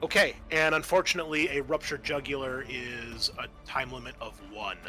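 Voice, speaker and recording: A man acting as game master, narrating and refereeing a horror roleplaying game in a halting way with stretched, dramatic emphasis. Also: mid-range voice, fairly even intonation, sharp tone. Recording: background music under the voice.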